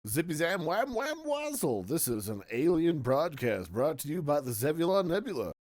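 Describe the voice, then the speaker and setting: A man's voice: a little high, sing-song, moderate pace, a little mellow, dry recording, voice pushed up. A man voicing a zany alien broadcaster through an electronic voice changer, in a playful announcer delivery. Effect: electronic voice changer distortion.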